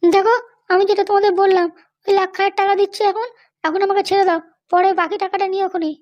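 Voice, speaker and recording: Cartoon comedy role: imperious jailed madam, extremely high voice, rapid-fire scolding and threats. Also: female voice, flat delivery, a little mellow, highs cut off. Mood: threatening, bossy. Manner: bossy, scolding. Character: arrogant, threatening jailed madam demanding luxuries and release. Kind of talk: amateur cartoon comedy dubbing.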